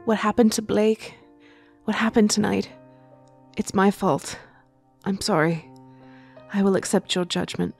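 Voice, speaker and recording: A woman's voice: medium pitch, fairly even intonation, unhurried, vocal fry, pauses often, darkish tone, husky, faint music behind. A woman playing a remorseful, anxious young princess in a fantasy audio drama, earnest and restrained. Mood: pleading remorse.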